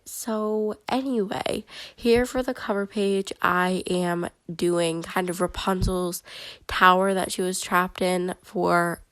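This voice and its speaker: A woman's voice: mid-range voice, dry recording. A woman with a stuffy, head-cold voice, chattily narrating a voiceover for her bullet journal video. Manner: chatty and excitable, many fillers.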